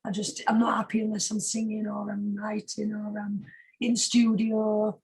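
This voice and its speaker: A British woman with a husky voice chatting casually as a singer being interviewed, informal and emphatic, often repeating herself for stress. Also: medium pitch, monotone, normal speed, a little mellow, low-quality recording. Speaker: singer-songwriter guest.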